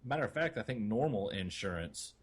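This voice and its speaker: A man co-hosting a gaming podcast in a casual, rambling way, with a somewhat husky voice. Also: fairly low pitch, expressive, fairly quick. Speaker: podcast co-host.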